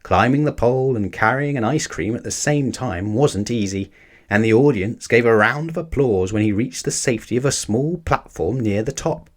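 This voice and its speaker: A man narrates a picture book for young children. His delivery is that of an expressive read-aloud storyteller, with a middling pitch. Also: moderate pace.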